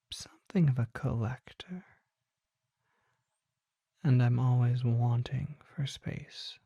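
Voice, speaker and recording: Someone doing a polite curious old vampire shopkeeper, asmr whispering, creaky, super close and dry, genteel. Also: medium pitch, warm tone, no room sound, heavily acted. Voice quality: breathy, mostly whispered. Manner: slow, genteel, formal phrasing. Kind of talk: ASMR roleplay, soft intimate monologue.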